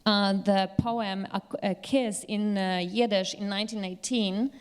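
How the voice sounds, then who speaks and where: A woman speaking as a literary commentator in an informal scholarly discussion, pausing often to recall details. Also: mid-range voice, fairly even intonation, smooth.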